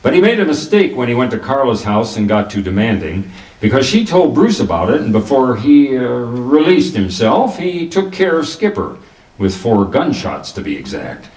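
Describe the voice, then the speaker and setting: An actor playing a cool, self-assured private detective in the manner of 1940s radio drama, narrating and sparring wryly. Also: male voice, mid-range voice, very animated, moderate pace, darkish tone, close to an everyday voice. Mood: explaining calmly. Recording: roomy echo, distant from the microphone.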